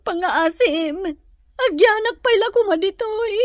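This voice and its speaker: An actress playing a hurt, pleading young pregnant wife in a radio drama, plaintive and reproachful. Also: female voice, very high voice, lively intonation, unhurried, dry recording, studio quality. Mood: pleading, tearful.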